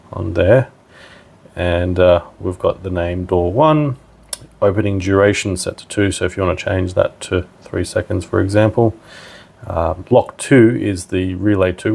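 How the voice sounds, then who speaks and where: A man's voice: deep voice, vocal fry, darkish tone. A man giving a casual, plain-spoken step-by-step technical demonstration as a tutorial presenter.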